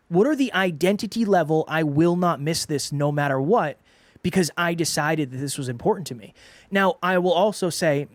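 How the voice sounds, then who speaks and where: Earnest, congested male podcast co-host, higher-pitched voice, dry close-mic sound. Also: no room sound. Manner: earnest, repeats himself while making a point. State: congested, sore throat. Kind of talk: casual podcast chat.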